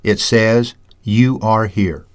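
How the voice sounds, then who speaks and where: A man reading a scripted lesson in a measured, storytelling way, teaching communication skills. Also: on the low side, fairly even intonation, smooth. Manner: measured, anecdotal, self-described ham. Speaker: audiobook narrator and seminar teacher. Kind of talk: scripted audiobook narration.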